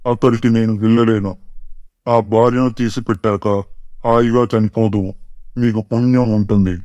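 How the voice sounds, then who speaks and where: A man voicing a male ghost in a cartoon story, talking in a slow, matter-of-fact way as he bargains and advises. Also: fairly low pitch, fairly even intonation, warm tone, dry recording, lo-fi sound, lightly acted. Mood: advising.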